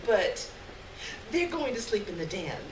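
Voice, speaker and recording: A woman's voice: mid-range voice, very animated, a little slow, a crowd in the background. A woman voicing a breezy, persuasive popular teenage schoolgirl in a live story reading, casual and upbeat. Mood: casual, coaxing. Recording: echoey, noisy live room, distant mic.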